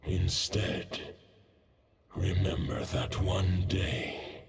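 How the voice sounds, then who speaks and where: A man's voice: deep voice, monotone, creaky, exaggerated voice. An actor playing an ancient, dying male wolf god in a video game, solemn, weary and resigned, with echo and noise around the voice. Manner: slow, weary, grave. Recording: echoing, noisy ambience.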